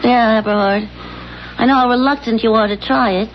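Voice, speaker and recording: A woman's voice: on the high side, fairly even intonation, fairly quick, slight background noise, close to an everyday voice. An actress playing a determined, prodding wife who eggs on her husband, in 1970s radio drama style. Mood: resolute, coaxing. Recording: dull 1970s radio recording.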